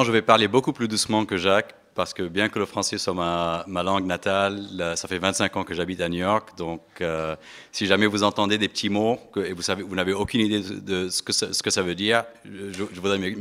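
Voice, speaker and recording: A middle-aged man giving a speech to an audience in an event hall, halting with many fillers and restarts. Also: low-pitched, a bit bright. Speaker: investment firm partner giving a speech. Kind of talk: conference speech.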